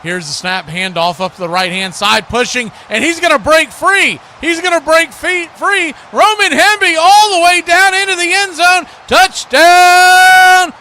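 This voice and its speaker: A man's voice: measured pace, few pauses. A man doing live football play-by-play and chat as the host of a broadcast. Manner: excitable, shouts on big plays, drops low in asides. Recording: crowd and game noise behind the voice.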